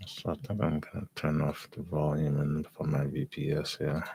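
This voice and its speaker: A man with a raspy, hoarse voice, giving calm live commentary as he day trades and thinks aloud. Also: rumbling low, normal speed.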